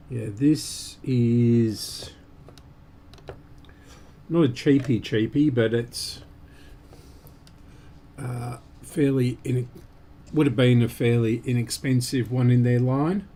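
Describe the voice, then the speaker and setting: A man giving unscripted, halting commentary as host of a repair stream, muttering to himself while he works. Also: medium pitch, steady tone, warm tone, a little hiss or hum.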